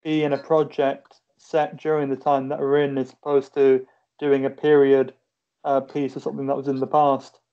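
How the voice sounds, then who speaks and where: A man's voice: mid-range voice, monotone, moderate pace, clear, resonant tone. A man interviewing an actor over a video call, asking questions with many hesitant fillers. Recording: dull lo-fi call audio.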